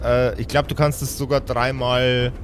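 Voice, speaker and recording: A man with a medium-pitched voice works as game master in an improvised tabletop game. He switches between narration and banter, dropping in English words and swearing. Noticeable noise sits behind him. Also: flat delivery, normal speed.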